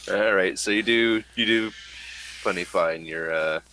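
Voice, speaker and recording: A man with a fairly low, forceful voice runs a tabletop role-playing game. He speaks casually and haltingly as he describes scenes and asks players for rolls. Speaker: game master.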